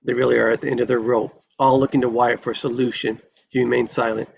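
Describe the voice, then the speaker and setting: A man's voice: medium pitch, level tone, quick pace, creaky, husky, lo-fi sound. A man reading screenplay stage directions in a dramatic narrating style at a table read.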